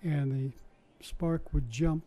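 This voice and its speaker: An older man reminisces in a relaxed interview, his voice very dark and mellow. He tells his stories in a chatty, wry way, often restarting mid-sentence and dropping small asides. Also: on the high side, slow. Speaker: interviewee recalling his science show work. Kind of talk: casual oral-history storytelling.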